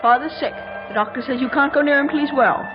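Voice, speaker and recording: A woman acts a spiteful, bullying young boy in an old radio drama. The voice is high and jumps around, on a muffled recording with music clearly underneath. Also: fast, close to an everyday voice. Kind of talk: 1940s radio drama acting.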